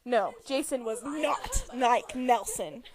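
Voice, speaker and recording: A woman's voice: on the high side, lively intonation, slow. A young woman giving an amateur dramatic reading of a novel, prone to giggling and stumbling over words. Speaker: amateur reader.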